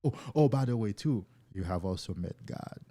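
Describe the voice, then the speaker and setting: A man's voice: on the low side, animated, normal speed, warm tone, no echo. A man hosting a casual live stream as a coach, talking loosely and enthusiastically to viewers and often repeating words as he goes.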